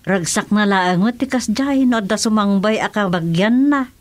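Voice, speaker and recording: A woman acting as a gentle older village mother in a conversational radio drama. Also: mid-range voice, moderate pace, natural voice. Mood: reassuring.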